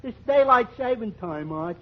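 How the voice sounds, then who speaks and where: An actor playing a dim-witted, amiable barfly, hesitant and earnest, in a 1940s radio comedy. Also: male voice, very high-pitched, animated, moderate pace. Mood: dopey. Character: dim-witted, amiable male tavern regular with muddled logic. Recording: dull vintage radio recording.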